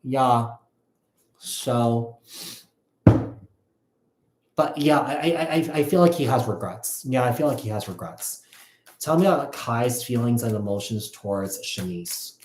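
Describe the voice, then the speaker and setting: Guy doing tarot readings off the cuff, mid pitch, stops and repeats himself, roomy echo. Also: darkish tone, a little hiss or hum. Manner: halting and repetitive, thinking aloud. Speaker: tarot reader hosting live readings. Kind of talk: casual live tarot reading, spoken off the cuff. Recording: echoey, roomy sound.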